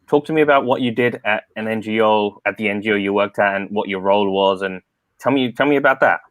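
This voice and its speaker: A man's voice: lowish voice, fast, fairly bright tone, no room sound. A man hosting a live interview show, friendly, repeating words as he asks his questions. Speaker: show host.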